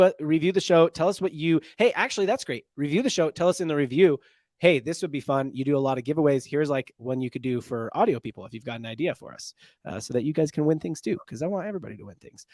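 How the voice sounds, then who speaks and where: A man's voice: a little high, lively intonation, brisk, a little mellow, no echo, studio quality. A man hosting a casual sports podcast, talking loosely with lots of fillers and restarts as he runs through giveaways and sign-offs. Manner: loose, rambling delivery with many fillers and restarts. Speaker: podcast host.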